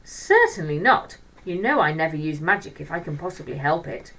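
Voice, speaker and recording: A woman's voice: low-pitched, animated, normal speed, fairly bright tone, recorded from a distance, low-quality recording. A woman voicing a mild, kindly, fretful male schoolteacher in a children's storybook, with a prim, worried way of talking. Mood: weary, prim.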